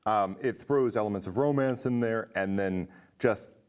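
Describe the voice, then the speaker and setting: Low-voiced man hosting a talk show. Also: moderate pace, smooth. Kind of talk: casual film chat with a guest. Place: indoor studio set with curtains.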